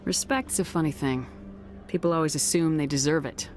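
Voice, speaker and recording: An actress playing a composed, diplomatic military commander in a space game, speaking in a measured, assured manner. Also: female voice, low-pitched, a little mellow, natural voice.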